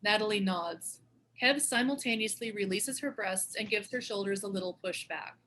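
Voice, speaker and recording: A woman's voice: medium pitch, moderate pace, a bit bright, slight background noise. A woman narrating the stage directions of a screenplay in a scripted read.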